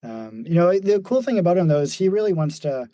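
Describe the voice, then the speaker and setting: A man's voice: high-pitched, big pitch swings, brisk, warm tone, dry recording. Guy in his forties musing on a podcast, lots of ums and restarts, dry close mic. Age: 40s. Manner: thoughtful, many fillers and restarts, laughs easily. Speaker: podcast guest, mountain runner. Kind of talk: reflective casual conversation.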